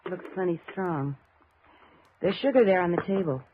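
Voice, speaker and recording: A woman playing an anxious, chatty young woman in a 1950s radio drama, earnest and expressive. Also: on the low side, normal speed, close to an everyday voice. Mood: chatty, settling. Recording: muffled, noisy 1950s radio recording.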